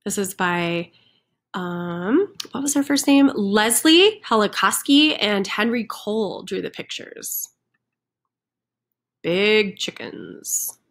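A woman's voice: medium pitch, lively intonation, takes it slowly, a bit bright. A woman reading a picture book aloud to young children, expressively leaning on the rhyming sound words. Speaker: children's center storyteller. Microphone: computer microphone on a screen recording with webcam.

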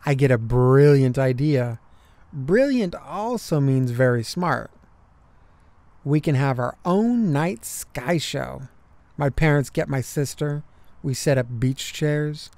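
A man narrating a children's picture book aloud, with deliberate, expressive phrasing for young listeners. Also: medium pitch, no echo. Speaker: storybook narrator.

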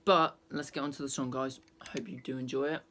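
A young man with a croaky throat, chatting hesitantly to his webcam from a small bedroom, full of ums. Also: mid-range voice, steady tone, fairly quick, bright, forceful tone. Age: young adult. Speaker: vlogger. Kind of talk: casual chat to camera. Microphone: webcam at desk. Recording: backing music playing.

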